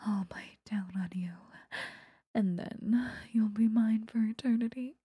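A woman voicing an obsessive, possessive female vampire in a breathy, coaxing ASMR whisper, close to the mic. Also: fairly high pitch, dry recording, lo-fi sound. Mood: hungry, possessive. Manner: slow, coaxing, intimate. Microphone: close microphone.

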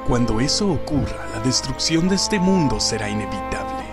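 A man's voice: mid-range voice, very animated, moderate pace, over loud music, natural voice. A man with a Latin American accent playing a solemn, sinister servant of an underworld lord in an anime fan dub. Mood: ominous. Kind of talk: anime fan dub villain acting in Spanish.

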